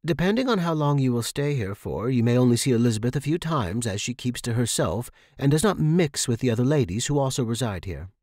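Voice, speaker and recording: A man voicing a stern, bossy landlady in audio fiction, giving brisk, clipped instructions. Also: medium pitch, sing-song, a little mellow, dry recording, studio quality. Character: stern, bossy woman landlady with strict house rules. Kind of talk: audio fiction character reading.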